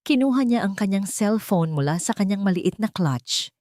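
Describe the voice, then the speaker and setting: A woman's voice: medium pitch, animated, moderate pace, a little mellow, no room sound, clean studio sound. A woman reading a scripted dramatic story as its narrator.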